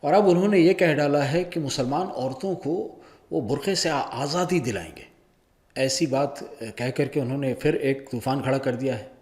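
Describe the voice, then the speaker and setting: A man presenting news commentary in an informal, opinionated way, often pausing mid-sentence. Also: mid-range voice, a little mellow.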